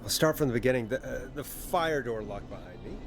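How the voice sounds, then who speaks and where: A man's voice: medium pitch, animated, fairly quick, natural voice. An actor playing a wry, uneasy male radio DJ working a night shift in a video game.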